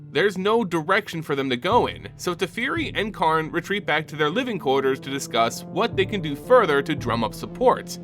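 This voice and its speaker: A man narrating a fantasy game's story as a dramatic lore reading, in a lively storytelling manner. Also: on the high side. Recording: music bed underneath.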